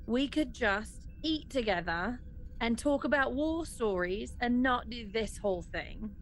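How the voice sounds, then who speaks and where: A woman's voice: a little high, animated, a little slow, soft background music, rough recording. A woman playing a tabletop game, chatting casually about her moves in a playful, wry way. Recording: clear background noise.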